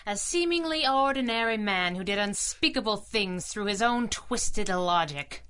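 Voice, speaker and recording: Bright, fiery young heroine. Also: female voice, mid-range voice, animated, measured pace, smooth. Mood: bitter, accusing. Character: plucky, fiery young female crimefighting sidekick. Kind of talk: 1930s-style radio drama acting.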